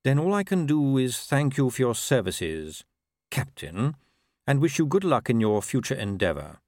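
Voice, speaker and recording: A man voicing a wily, urbane ruler of a city in an audiobook, measured, deadpan and ironic. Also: medium pitch, no echo, clean studio sound, voice pushed up. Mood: calm, knowing.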